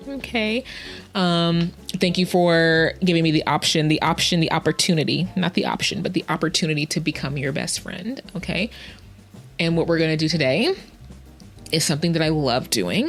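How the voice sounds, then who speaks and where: Woman, low-pitched voice, casual podcast host, playful, slangy, self-interrupting, faint music. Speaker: podcast host, writing coach. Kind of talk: casual, chatty solo podcast answering listener-style questions.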